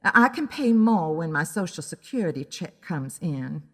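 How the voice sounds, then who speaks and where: A woman's voice: deep voice, very animated, moderate pace, in a large hall, exaggerated voice. A woman voicing a scornful, unabashed elderly female client in an audio drama. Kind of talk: audio drama character dialogue.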